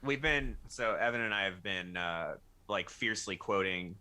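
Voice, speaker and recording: Male podcast co-host, bright tone and animated pitch, casual, joking, slangy. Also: normal speed, clear, resonant tone. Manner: jokey, slangy. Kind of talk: casual chat.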